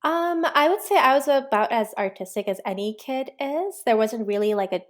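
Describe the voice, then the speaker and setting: Relaxed woman guest, full of ums. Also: fairly high pitch, moderate pace. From Pakistan. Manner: relaxed, reflective, many ums and likes. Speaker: guest, children's book author and illustrator. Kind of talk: casual interview answers.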